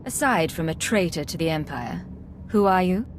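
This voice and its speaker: Resolute female secret agent, acted, lowish voice, cool, businesslike, firm, video game cutscene. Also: lively intonation, normal speed, slight background noise, natural voice. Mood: cold defiance.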